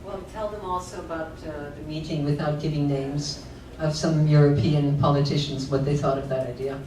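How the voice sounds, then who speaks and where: A woman's voice: low-pitched, fairly even intonation, moderate pace, dark tone, slight background noise. A woman moderating a panel discussion, posing questions directly to the speakers. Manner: direct, prompting. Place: conference room. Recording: echoey room, voice from far off.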